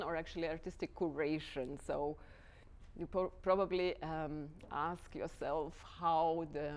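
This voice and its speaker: A woman from Lithuania with a Lithuanian accent, giving an informal lecture to dance students, hesitant, with many pauses and restarts. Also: deep voice. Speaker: guest lecturer, artistic director.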